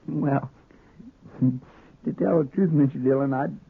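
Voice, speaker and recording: Sheepish deputy: rural American drawl, halting and eager to please, raspy, muffled 1950s radio recording. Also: male voice, medium pitch, exaggerated voice. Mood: bashful explaining. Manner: halting, folksy, deferential. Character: eager, simple, folksy deputy, deferential and sheepish. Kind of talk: 1950s radio western acting.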